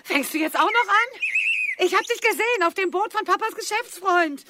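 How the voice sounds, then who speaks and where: A very high-pitched, frantic mother in a radio drama. She is insistent, imperious and close to unravelling. Loud outdoor waterside noise surrounds her voice. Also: animated, normal speed. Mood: agitated, reproachful. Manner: agitated, insistent. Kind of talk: German radio drama acting.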